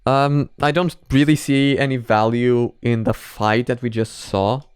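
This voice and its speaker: A man's voice: medium pitch, steady tone, unhurried, smooth, no echo, studio quality. A man giving casual, off-the-cuff reaction commentary, rambling and hesitant as he thinks aloud. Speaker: host of a reaction video.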